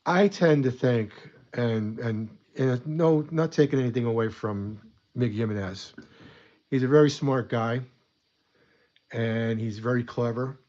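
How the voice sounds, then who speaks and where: A man's voice: fairly low pitch, darkish tone, lo-fi sound. A man hosting a casual hobby weathering tutorial at his desk, chatty and rambling, a bit stuffy from a coming cold. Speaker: model weathering host.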